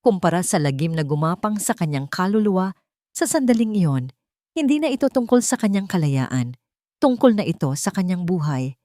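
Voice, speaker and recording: Low-voiced woman narrating a dramatic love story, dry close sound. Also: sing-song, normal speed, mellow, no room sound.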